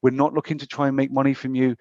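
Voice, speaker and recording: A man's voice: medium pitch, flat delivery, racing, mellow, no echo. A British man giving an informal financial advisor's presentation to clients over an online call, explaining in a hesitant way with hedged asides. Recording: dull, muffled online call audio.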